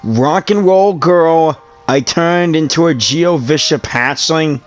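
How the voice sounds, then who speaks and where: A woman's voice: low voice, slow pace, soft background music. A woman talking in a casual, slangy way as she reads and reacts during a video game.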